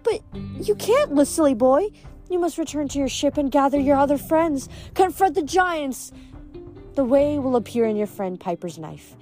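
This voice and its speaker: A woman's voice: high voice, big pitch swings, normal speed, dark tone, faint music behind, voice pushed up. A woman voicing a sweet, breezy ancient goddess who looks young, with a gushing, giggly, theatrical manner in a storybook reading. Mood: playful, breezy. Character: sweet, breezy, fawning ancient goddess, youthful pretty lady.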